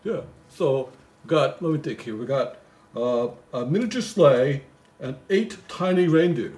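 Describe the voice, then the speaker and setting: A man's voice: mid-range voice, animated, unhurried, darkish tone. A man reading a poem aloud with casual joking asides, in a rambling, comically baffled manner. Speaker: host reading a Christmas poem. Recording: echoey room.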